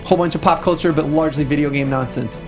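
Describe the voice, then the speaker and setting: A high-voiced man talks quickly as a co-host in a casual review chat. He gives his opinions emphatically and informally. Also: fairly even intonation, over music. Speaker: talk show co-host. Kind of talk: casual film review discussion.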